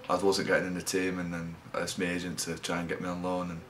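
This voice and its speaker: Deep flat-voiced lad. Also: male voice, a little hiss or hum. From England. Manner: low-key, halting, trails off. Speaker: rugby league player. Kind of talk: interview answers.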